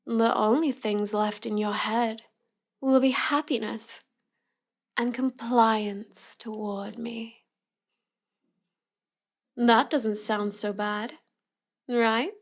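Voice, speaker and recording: A woman's voice: fairly high pitch, steady tone. A woman playing a cute, teasing young villainess in an intimate roleplay audio, drawing out her words as she mocks the listener. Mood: coaxing, gloating. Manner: slow, teasing delivery with drawn-out words and giggles. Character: cute, teasing, mocking young villainess with mind-control powers.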